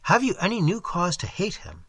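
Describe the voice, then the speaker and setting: A reader voicing a proud, touchy young male painter who speaks peevishly in clipped bursts, with a husky voice. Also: mid-range voice, huge pitch swings, normal speed, fairly bright tone, no room sound, voice pushed up, heavily acted. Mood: indignant.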